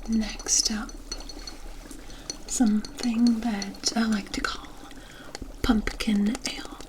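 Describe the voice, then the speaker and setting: Woman acting as a kindly witch, whispered ASMR roleplay, slow pace, gentle, reassuring, storybook phrasing. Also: a little high, flat delivery. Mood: gentle, confiding. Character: kindly forest witch brewing a protective potion.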